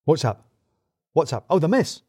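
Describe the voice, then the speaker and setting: A jittery, paranoid office manager played by a male storyteller in an audio drama. He pushes his words out loudly and a little high, stammering and repeating himself in blustering emphasis. The sound is close and dry. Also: sing-song, normal speed, slightly rough, dry recording. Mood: manic bluster.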